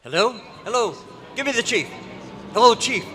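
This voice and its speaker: A very high male voice comes over a clearly noisy live recording. A man plays an agitated newspaper reporter in a vintage radio play, insisting and pleading as if into a telephone. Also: big pitch swings, moderate pace, sharp tone, exaggerated voice. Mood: urgent alarm. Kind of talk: old-time radio drama acting. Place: hotel convention meeting room, live performance.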